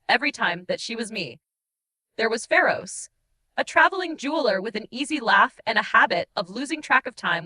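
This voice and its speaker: Woman doing sad changeling girl audiobook, tender n guilty, bright voice, really expressive mournful storytelling. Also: mid-range voice, low-quality recording. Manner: emotive, mournful storytelling with dramatic pauses. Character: heartbroken shapeshifting changeling woman, guilt-ridden, tender, wistful confessor. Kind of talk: dramatic first-person audiobook narration.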